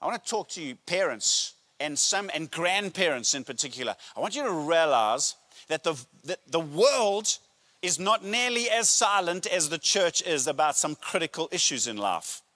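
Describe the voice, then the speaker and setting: A middle-aged man, a pastor preaching a sermon with emphatic, deliberate phrasing and pauses, speaking into a handheld microphone. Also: fairly high pitch, sing-song, pushed and bright. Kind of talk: sermon to a congregation. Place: church auditorium stage, indoors.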